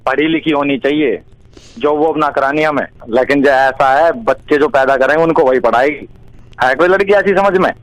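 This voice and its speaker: Casting: young male caller, slightly high voice, demanding and blunt, casual phone talk, close dry sound. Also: normal speed, dry recording, low-quality recording. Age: young adult. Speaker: prank call recipient seeking a bride. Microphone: phone call.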